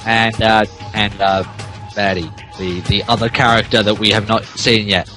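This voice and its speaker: A man's voice: on the low side, level tone, moderate pace, bright, forceful tone, soft background music. A man narrating a fan fiction as a mock-dramatic podcast reading, theatrical but stumbling over the text, with asides. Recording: podcast with faint background noise.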